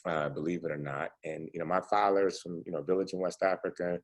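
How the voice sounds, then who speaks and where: A man's voice: sits low, level tone, fast talker, slight background noise. A man in his forties from the States giving a casual talk to students, chatty and full of "you know" and "right?". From United States. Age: 40s. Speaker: entrepreneur guest speaker.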